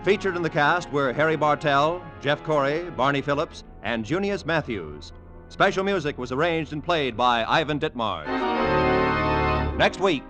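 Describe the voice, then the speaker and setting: A man's voice: a little high, lively intonation, normal speed. A man announcing scripted credits in the style of 1950s radio. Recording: vintage radio with clear music behind.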